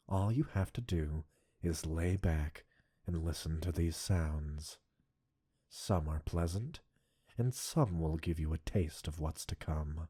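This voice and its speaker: A man playing an obsessive, coolly possessive mad-scientist captor in an intimate roleplay audio, speaking with deliberate, teasing dominance and a breathy huskiness. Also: very deep voice, warm tone, heavily acted. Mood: coolly menacing. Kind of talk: intimate scripted roleplay addressed to listener.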